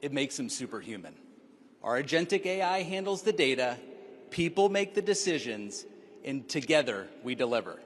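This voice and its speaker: Male executive presenter, lively pitch, emphatic pitch, echoing hall. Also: moderate pace. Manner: emphatic, sales-like delivery. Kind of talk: scripted public presentation.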